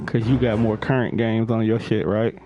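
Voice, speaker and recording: A man's voice: on the low side, steady tone, normal speed, rough recording. A man chatting casually and giving slangy, laid-back instructions during a video game. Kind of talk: casual chat while coaching a video game. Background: music.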